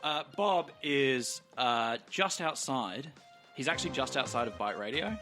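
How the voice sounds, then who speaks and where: A man playing a tabletop game, casually improvising descriptions of his character with halting, drawn-out phrasing. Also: medium pitch, expressive, sharp tone. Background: music.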